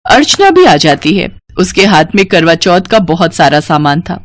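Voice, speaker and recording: A woman's voice: fairly low pitch, sing-song, moderate pace, no room sound. A woman storyteller giving scripted narration of a family tale.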